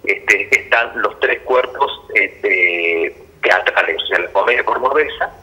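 A middle-aged man with an Argentine accent explains his work in a radio interview by phone. His voice sits a little low in pitch, yet it is very bright and forceful in tone. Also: lively intonation, unhurried, husky, rough recording. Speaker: theatre director, interview guest.

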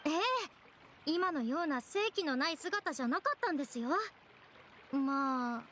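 A woman's voice: high voice, expressive, moderate pace, fairly bright tone, a little hiss or hum. A woman voicing a polite, apologetic young female guide and cheerful mascot in an anime game, explaining things earnestly.

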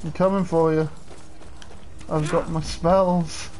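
A man's voice: fairly high pitch, little pitch movement, slow pace, a little mellow, slight background noise. A young man casually talking through a livestream while playing, chatty and swearing at the game. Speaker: game streamer.

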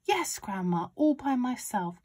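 A woman's voice: a little high, very animated, a little slow, pitched above the performer's own voice. A woman voicing an earnest young boy in a children's story, insistent and calling out. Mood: protesting. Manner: calling out loudly, protesting.

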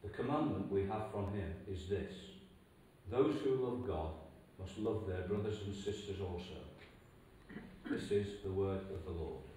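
An older English man reading a scripture lesson aloud in a steady, deliberate way, recorded in a large stone church from a distance. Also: sits low, a little hiss or hum. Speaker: lay reader. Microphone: far camera. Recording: echoey church from far off.

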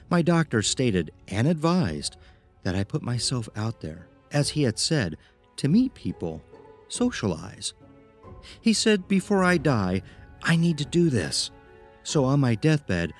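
An actor playing a small, eccentric, socially awkward man who speaks in a formal, halting, ingratiating way in a horror audio drama. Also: medium pitch.

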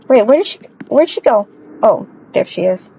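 A woman's voice: medium pitch, animated, moderate pace, dark tone, dull audio, slight background noise, lightly acted. A woman playing an affectionate young mother in an improvised family game roleplay, casual, hesitant and doting.

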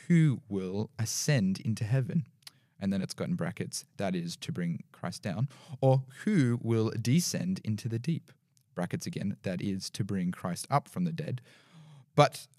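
Young man, deep baritone reading. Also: darkish tone, no room sound, studio quality. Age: young adult. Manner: slightly halting reading with small slips. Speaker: podcast guest. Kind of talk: reading scripture aloud. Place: small podcast studio indoors. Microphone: studio mic on boom arm with headphones.

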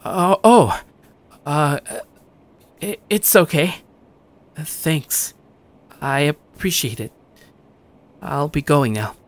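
A man's voice: fairly high pitch, very animated, drawn-out words, pauses often, exaggerated voice. A young man playing a shy but slightly cocky gamer who narrates his day in a casual, musing way, like an anime-style audio drama. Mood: shy, flustered. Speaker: narrator and lead character. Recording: dry studio voice over music. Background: music.

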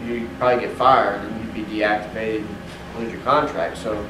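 A man's voice: lowish voice, flat delivery, moderate pace, far from the mic, background noise. A man on a seafood-industry panel, talking in loose, filler-heavy sentences. He sounds set back from the microphone. The hotel meeting room echoes, and an air conditioner hums behind him. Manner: rambling with many "you know" fillers. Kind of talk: panel Q&A answer. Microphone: distant room mic.

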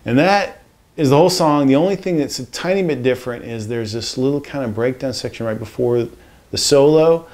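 A man in his forties giving a casual guitar lesson in a home studio, relaxed and rambling with lots of fillers. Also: medium pitch, brisk. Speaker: guitar teacher. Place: home music studio, indoors. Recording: guitar strummed under the voice. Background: acoustic guitar playing.

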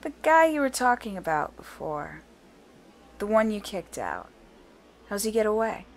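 A woman's voice: mid-range voice, big pitch swings, measured pace. A woman playing Diane, a guarded, protective survivor who also narrates, in a fan audio drama. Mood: tense. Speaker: narrator and lead character.

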